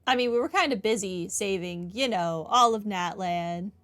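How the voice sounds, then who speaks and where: A woman's voice: mid-range voice, expressive, moderate pace, a bit bright. A woman giving casual, wry, conversational commentary as she plays through a game. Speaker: gaming streamer. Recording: game music faintly behind.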